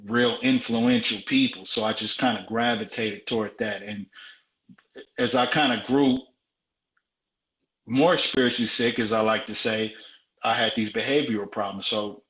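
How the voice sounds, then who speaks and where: Low-voiced man in his forties, telling his story. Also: fairly even intonation, moderate pace, fairly bright tone. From South Central Los Angeles. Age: 40s. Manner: frank, conversational, repeats phrases for emphasis. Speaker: guest. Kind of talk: personal life story in a live interview. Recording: dull, roomy live-stream audio.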